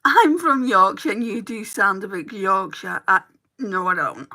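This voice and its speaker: A woman's voice: mid-range voice, a little slow, pushed and bright. A woman with a Lancashire accent chatting casually with viewers while doing her makeup and answering their comments. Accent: Lancashire English. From Lancashire, England. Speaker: beauty streamer.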